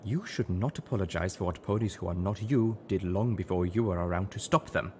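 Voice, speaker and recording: A man's voice: low-pitched, fairly quick, a little hiss or hum, close to an everyday voice. A man voicing a reserved, stoic female zebra who speaks formally and plainly in a story reading. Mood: calm, measured. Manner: formal, plain-spoken, without contractions.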